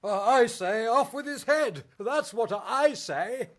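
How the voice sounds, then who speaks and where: A woman plays an unamused, grumpy king in a radio musical. The delivery is slow and curt, with a pompous air. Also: a little high, animated. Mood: curt displeasure. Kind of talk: stage musical radio play acting.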